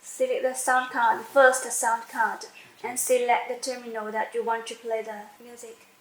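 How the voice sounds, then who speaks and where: A woman giving an unscripted step-by-step software demonstration, halting as she searches for words and repeats phrases. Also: fairly high pitch, flat delivery. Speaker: software tutorial presenter. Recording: echoey room with faint background noise.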